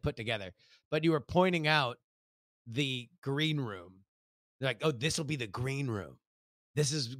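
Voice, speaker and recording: An American man co-hosting a podcast in casual chat, halting often and circling back over his words. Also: medium pitch, a bit bright, dry recording, clean studio sound. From United States. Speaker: podcast co-host.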